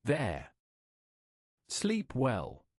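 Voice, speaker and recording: A man reading a scripted bedtime story in a measured, wry storytelling manner. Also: mid-range voice, huge pitch swings, darkish tone, dry recording, studio quality.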